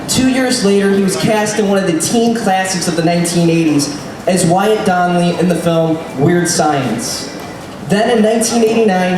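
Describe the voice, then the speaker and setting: A man moderating a live convention panel, giving enthusiastic announcer-style introductions of his guests. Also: high voice. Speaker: panel moderator and podcast host. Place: large convention hall. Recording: echoey hall miked from far off with noise.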